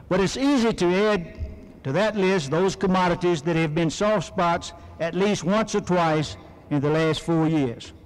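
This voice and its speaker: Man, Midwestern accent, high-pitched, political convention speech, long hall reverb, 1970s archive recording. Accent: American Midwest. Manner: folksy, wry storytelling. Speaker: convention speaker.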